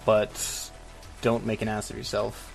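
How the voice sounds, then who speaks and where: A man's voice: lowish voice, completely flat delivery, a little slow, creaky, over music, poor audio. A man co-hosting a casual comedic advice podcast, wry and hedging, given to joking asides. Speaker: podcast co-host.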